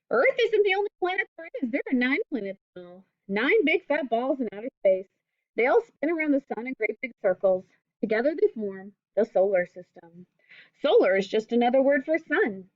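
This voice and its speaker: Animated woman reading aloud to children. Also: high voice, moderate pace, dry recording, highs cut off. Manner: expressive, simple phrasing aimed at young children. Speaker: teacher reading a picture book aloud to children. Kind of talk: children's read-aloud with explanatory asides.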